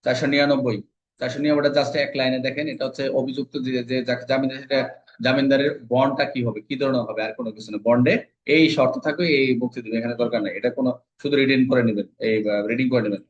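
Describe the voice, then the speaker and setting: A man's voice: medium pitch, fairly even intonation, very fast. A man with a Bangladeshi accent lecturing informally as an exam coach, repeating points, asking and answering his own questions and mixing in English legal terms. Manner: repetitive, drilling with rhetorical questions he answers himself. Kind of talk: informal lecture explaining legal code sections. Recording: dull, lo-fi audio.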